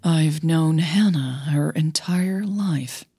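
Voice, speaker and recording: Give me a woman acting a reluctant, measured older female coach for an audio drama, low-pitched and guarded. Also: warm tone, slightly rough, no echo, clean studio sound, faint music behind, full character voice. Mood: confessing. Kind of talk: audio fiction dialogue.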